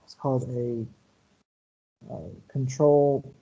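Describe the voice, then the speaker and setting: A man's voice: medium pitch, fairly even intonation, slow, dark tone. Man lecturing over a dull video call. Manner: explanatory, checks in with "okay?" and "right?". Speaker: university computer science lecturer. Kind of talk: online lecture over shared slides.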